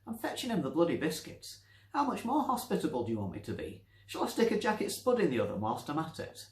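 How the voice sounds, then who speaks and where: A man's voice: on the high side, huge pitch swings, quick pace, reverberant, voice pushed up. Man voicing a feisty old northern English woman. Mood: exasperated, teasing. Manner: blunt, colloquial, teasing. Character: feisty, chatty elderly northern English widow. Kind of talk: story reading with character voices.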